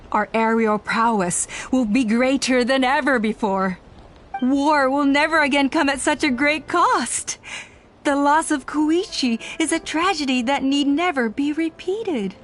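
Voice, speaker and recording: An actress playing a proud, self-righteous clan elder woman in a video game, speaking in measured, formal, persuasive speeches. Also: on the high side, slight background noise. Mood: calm, persuasive. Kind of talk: scripted video game voice acting. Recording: music under the voice.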